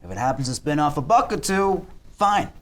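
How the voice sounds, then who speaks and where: Brash, commanding gangster boss played by a man, higher-pitched and animated, blunt, for a TV script reading. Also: brisk. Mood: self-assured. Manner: bossy, blunt.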